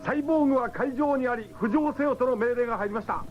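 A man's voice: very high voice, fast. An actor playing an urgent submarine crewman reporting in a radio drama. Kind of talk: 1970s radio drama acting. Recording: noisy, dull 1970s radio recording.

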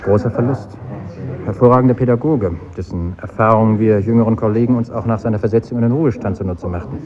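An actor playing a younger schoolmaster, polite and slightly pompous, with clipped formal speech in a 1950s German radio play. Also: male voice, lowish voice, quick pace, crowd noise, natural voice. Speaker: teacher. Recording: dull old radio recording, noisy classroom.

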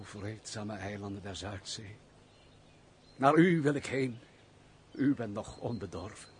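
A man's voice: medium pitch, slight background noise, natural voice. A man acting an embittered, brooding nobleman in a Dutch radio play, with formal, declamatory old-fashioned diction. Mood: world-weary. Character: brooding, embittered nobleman, weary of society, curt master.

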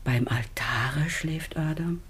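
An actress playing an impassioned, tender and anxious mother in a classic German radio drama, breathy and declamatory. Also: low-pitched, slow pace, full character voice. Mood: anxious grief. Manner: emotive, declamatory.

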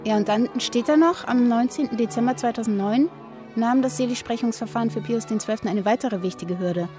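A woman's voice: on the high side, brisk, music in the background, natural voice. A woman playing a curious reader who shares findings casually with companions in a radio play. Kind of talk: conversational radio play dialogue.

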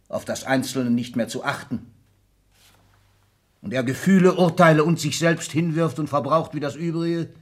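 An actor playing an ailing old industrialist patriarch in a German radio drama, husky, declamatory and commanding. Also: male voice, medium pitch, natural voice. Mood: rising indignation.

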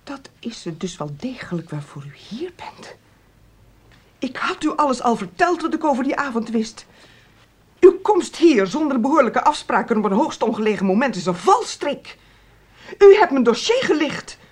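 An indignant, suspicious lady in a radio play, high swooping voice, formal, faint noise behind. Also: big pitch swings, normal speed, darkish tone, husky, exaggerated voice. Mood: suspicious, accusing. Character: wary, indignant woman confronting an investigator. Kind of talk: radio drama acting.

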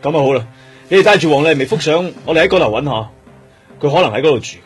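A man with a Hong Kong Cantonese accent acting as a serious, methodical police detective in a radio drama, speaking in a measured, probing way. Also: fairly high pitch, lively intonation, breathy edge, soft background music.

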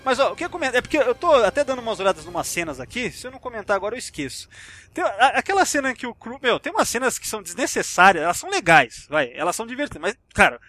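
A man's voice: very high-pitched, sing-song, rapid-fire, pushed and bright. A Brazilian man hosting a podcast, talking film with friends in a chatty, slangy, rambling way and swearing freely. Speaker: podcast host. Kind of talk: casual film discussion and anecdotes among friends.

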